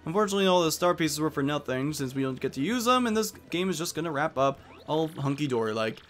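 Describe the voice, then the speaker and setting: Higher-pitched man casually narrating a video game. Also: expressive, fast, a bit bright, faint music behind. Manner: jokey, rambling. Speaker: let's play host.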